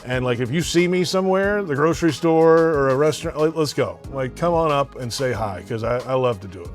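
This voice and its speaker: A man's voice: medium pitch, lively intonation, fairly quick, soft background music. A man who calls football on the radio, chatting in an easygoing way with fillers and laughs. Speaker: radio play-by-play announcer. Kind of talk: casual interview.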